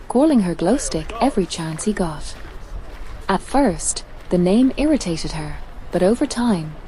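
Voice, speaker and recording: A woman's voice: on the low side, mellow, slight background noise. A woman narrating a scripted storybook tale as a storyteller.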